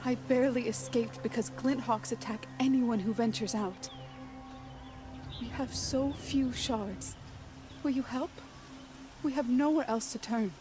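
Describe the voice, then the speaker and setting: An actress playing an anxious, pleading villager in a video game, earnest and worried in manner. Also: female voice, fairly high pitch, a little slow, long pauses, over music, close to an everyday voice. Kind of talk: cinematic game dialogue.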